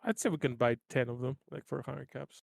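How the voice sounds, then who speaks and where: A man with a creaky, gravelly voice of middling pitch talks casually through a tabletop game. The sound is very dry, with no room echo. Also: fairly even intonation, brisk. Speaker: player in a tabletop roleplaying game.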